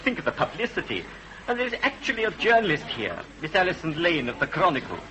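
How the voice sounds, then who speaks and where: A man's voice: on the high side, huge pitch swings, quick pace, noisy background. An actor playing a fragile, theatrical, self-dramatising male salon owner in an old radio drama. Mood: distraught. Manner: theatrical, camp, emphatic repetition.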